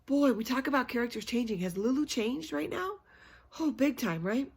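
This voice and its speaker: Woman reading a kids book out loud, bit high pitched, dramatic, chats and asks questions as she goes. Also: lively intonation, normal speed, creaky, slightly rough. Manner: dramatic, engaging listeners with questions. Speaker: read-aloud storyteller. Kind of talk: expressive children's story reading with chatty asides.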